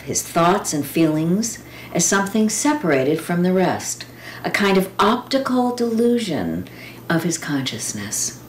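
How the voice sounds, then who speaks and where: A woman reading poems and quotations aloud with informal commentary, speaking in a reflective, deliberate way with pauses and drawn-out words. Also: low voice. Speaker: poetry reader. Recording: roomy echo with clear background noise.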